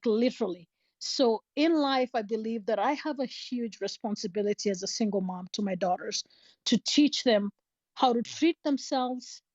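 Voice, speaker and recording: A woman from Kenya, speaking thoughtfully and earnestly in a casual talk, pausing on fillers. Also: a little high, darkish tone, no echo. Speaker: guest speaker. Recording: dull, muffled online audio room.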